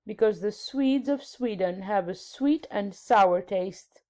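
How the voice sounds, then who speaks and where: A woman's voice: mid-range voice, takes it slowly, mellow, lightly acted. A woman voicing a composed storybook queen, commanding and matter-of-fact.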